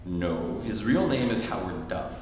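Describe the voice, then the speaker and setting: An actor playing a long-suffering husband in an old-time radio comedy, dry and exasperated. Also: male voice, lowish voice, expressive, measured pace, darkish tone. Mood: exasperated explaining. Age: middle-aged. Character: long-suffering, deadpan husband of a scatterbrained wife. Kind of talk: 1940s radio comedy acting on stage. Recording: echoey hall, distant mic, faint noise.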